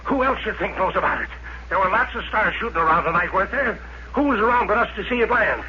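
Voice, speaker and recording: A man's voice: high-pitched, lively intonation, fast. An actor playing a greedy, bossy middle-aged father who runs a desert gas stop, with folksy rural talk, in 1950s radio drama style. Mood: excited, greedy. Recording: muffled noisy vintage radio recording.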